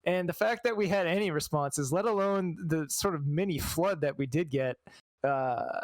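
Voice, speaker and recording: A man with a fairly high voice speaks casually as an interview guest. He is thoughtful and halting, feeling his way through each point. The sound is dry, with no room around it. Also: no room sound. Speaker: guest, fan translator.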